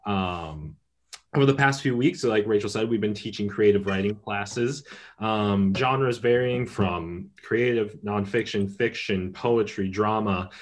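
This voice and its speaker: A man's voice: fairly low pitch, a little slow. A young man, a student and writing teacher, talking in a friendly, casual way with ums. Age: young adult.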